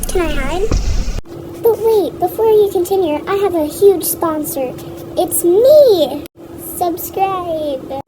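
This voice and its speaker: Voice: animated, music in the background. A gaming video host giving casual, hesitant commentary through a voice changer that makes them sound like a small child. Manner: hesitant, halting. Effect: pitched-up voice changer, childlike. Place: room at home. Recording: clearly noisy home recording.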